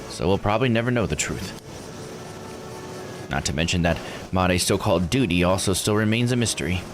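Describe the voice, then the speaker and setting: Guy doing an earnest grieving villager in a visual novel, low measured voice, music underneath. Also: close to an everyday voice. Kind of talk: reading visual novel dialogue aloud.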